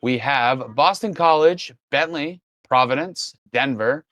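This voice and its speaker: A man's voice: mid-range voice, a little slow, a bit bright, dry recording. A man who has lost his voice, co-hosting a casual sports podcast and rambling through a list of teams while correcting himself. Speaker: podcast co-host.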